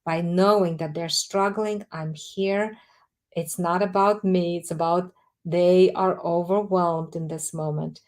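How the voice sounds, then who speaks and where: Calm woman. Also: fairly low pitch, measured pace. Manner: calm, emphatic, explanatory. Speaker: guest author. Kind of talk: interview answers.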